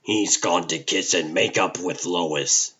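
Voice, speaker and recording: A man playing a gruff, wry middle-aged newspaper chief in a comic book dub. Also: deep voice, takes it slowly, a bit bright, highs cut off, exaggerated voice. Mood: wry, matter-of-fact.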